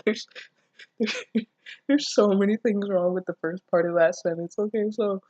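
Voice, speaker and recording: A woman's voice: mid-range voice, measured pace, warm tone, dry recording, dull audio. A woman reading fan fiction aloud and reacting casually, with an incredulous, self-deprecating manner and free swearing. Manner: giggly, breaking off to comment. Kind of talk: casual reaction commentary mixed with read-aloud.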